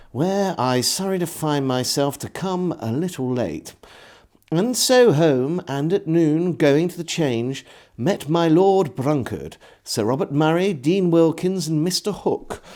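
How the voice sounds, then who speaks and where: A man narrates a historical diary in old-fashioned phrasing. His voice sits a little high and moves widely in pitch. He takes his time, pausing deliberately between short phrases. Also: very animated, darkish tone. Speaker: storyteller reading a historical diary aloud.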